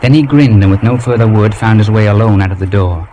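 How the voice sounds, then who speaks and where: An actor playing an earnest young man who narrates an old radio drama in a formal, literary, measured way. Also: lowish voice, highs cut off, noisy background, natural voice. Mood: tense. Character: earnest young man recalling boyhood adventure. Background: music.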